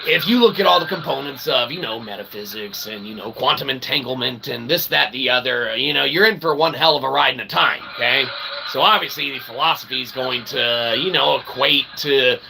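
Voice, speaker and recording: A man giving a rambling philosophical monologue as a channel host, with a gravelly voice and wordy, mock-formal phrasing broken by folksy, emphatic check-ins. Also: medium pitch, fairly quick. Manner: emphatic, often checking in with "okay" and "right". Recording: clear background ambient noise.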